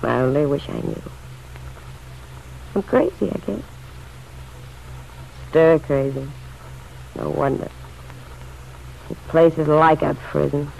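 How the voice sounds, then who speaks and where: A woman's voice: low voice, slow pace, gravelly, long pauses, a little mellow, exaggerated voice. An actress playing an anxious, world-weary working-class woman in an old radio drama, with pleading, colloquial speech. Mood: anxious, bitter. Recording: muffled, noisy old radio recording.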